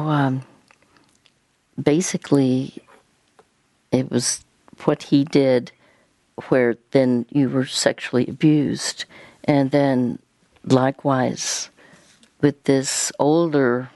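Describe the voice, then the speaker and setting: Slow, halting older woman radio host. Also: rumbling low, warm tone, no room sound. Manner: slow, halting, many pauses and fillers. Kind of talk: gentle counseling conversation.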